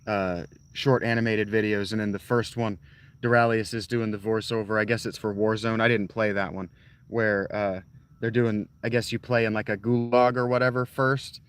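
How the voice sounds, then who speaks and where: A man chats loosely with his live viewers, wandering from one tangent to the next. His voice sits a bit low, and he swears freely as he talks. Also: little pitch movement, moderate pace, smooth. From North Carolina. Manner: rambling, profane, talks straight to his chat. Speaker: live streamer. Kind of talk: casual chat with live viewers.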